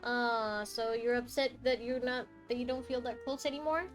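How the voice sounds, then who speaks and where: A woman's voice: on the high side, fairly even intonation, moderate pace, over music. Chatty, teasing woman streamer. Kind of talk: casual gameplay commentary.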